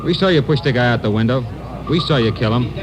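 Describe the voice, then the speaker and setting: An actor playing a blunt, accusing eyewitness in a 1950s radio crime drama. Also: male voice, mid-range voice, lively intonation, fast, over music, natural voice, low-quality recording. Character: blunt, accusing male street witness to a death. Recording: old radio recording, crowd behind.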